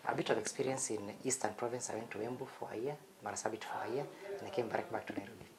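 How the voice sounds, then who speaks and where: A Kenyan man in his fifties, a cardiologist telling his life story in a relaxed, reflective interview. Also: lowish voice, fast talker, crowd noise, poor audio. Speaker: cardiologist guest. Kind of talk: conversational interview.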